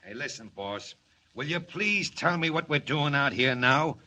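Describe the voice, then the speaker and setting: A jumpy Southwestern henchman from a 1940s radio serial. His slangy talk is forceful and swings up and down, with a little hiss on the vintage recording. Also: mid-range voice, expressive, a little slow. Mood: nervous, impatient. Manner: rough slangy speech, jumpy, questioning. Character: nervous, gruff male Southwestern gunman and henchman.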